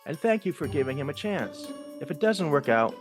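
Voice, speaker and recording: A man's voice: on the high side, very animated, a little fast, soft background music. A man playing a skeptical, no-nonsense mentor mouse in an audio drama. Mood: wry, firm.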